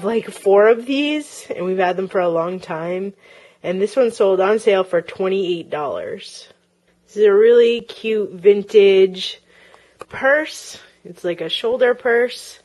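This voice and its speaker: A woman's voice: lowish voice, darkish tone. A woman chatting casually as she shows off items she has sold online, with plenty of ums and uhs, recorded at home over close-up tabletop shots. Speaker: online reseller. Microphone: handheld camera close-up, speaker off-camera.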